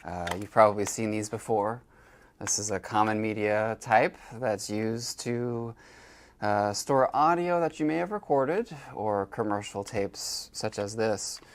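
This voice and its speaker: A man's voice: fairly low pitch, measured pace. A man giving a casual, step-by-step equipment tutorial as an instructor, with informal, folksy phrasing. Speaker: instructor demonstrating library equipment.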